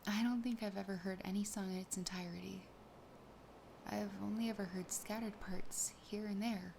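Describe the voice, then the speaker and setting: Soft whispery possessive siren played by a woman. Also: medium pitch, steady tone, slight background noise, lightly acted. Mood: curious, shy. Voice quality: soft, breathy, often whispered. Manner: slow, teasing, intimate. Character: possessive, sassy, lonely female siren toying with her catch. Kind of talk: whispered ASMR roleplay.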